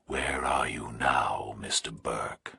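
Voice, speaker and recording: A menacing American kidnapper on the phone, as a male narrator puts him on, speaking in an extremely deep, gravelly whisper. He is patient and in command, stretching out every word with cold calm in a thriller audiobook. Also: no room sound, studio quality, full character voice. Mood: calm menace. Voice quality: slow, deep, gritty, whispery.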